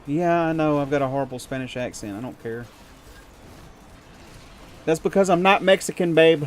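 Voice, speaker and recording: A man's voice: fairly high pitch, moderate pace, a little hiss or hum. A man from the United States chatting with his viewers while gaming, rambling and chuckling and poking fun at himself. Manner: rambling, chuckling, self-deprecating. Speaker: streamer.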